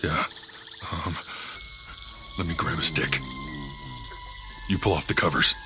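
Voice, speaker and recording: A man's voice: extremely low pitch, takes it slowly, over loud music. A man playing a dry, wry, laid-back sidekick camper in an audio drama. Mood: dry, wary.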